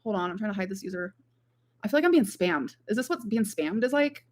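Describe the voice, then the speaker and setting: A woman's voice: medium pitch, animated, a little fast. A woman chatting casually on a livestream in a rambling way, peppering her talk with "like". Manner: rambling, frequent "like". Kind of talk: casual livestream chat, reading out viewer comments.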